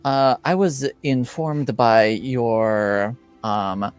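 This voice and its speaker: A man voicing a stern, coldly polite noble father in a tabletop game, formal and measured. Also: medium pitch, clear, resonant tone, faint music behind.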